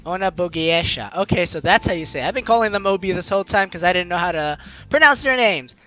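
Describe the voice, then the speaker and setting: A young adult let's play host with a bright, forceful voice that swings widely in pitch. The delivery is playful and theatrical, moving between commentary and reading lines aloud, with faint game music underneath. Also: expressive, fast. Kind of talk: casual gameplay commentary with dramatic reading of dialogue.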